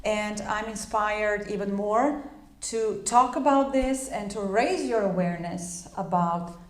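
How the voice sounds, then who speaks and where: A woman's voice: mid-range voice, unhurried, echoey room. Chatty woman, Croatian first language. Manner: chatty, many fillers and restarts. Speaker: English teacher. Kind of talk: informal spoken lesson.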